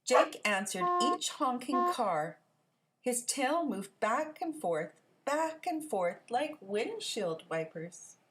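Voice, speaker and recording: A woman reading a children's picture book aloud as a storyteller, in an expressive, deliberate way. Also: sits high, noisy background.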